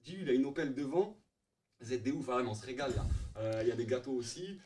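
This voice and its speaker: A man's voice: medium pitch, expressive, quick pace, a little mellow. A man in loose, slangy prank-call banter, pushy and repeating himself in street slang. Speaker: prank caller.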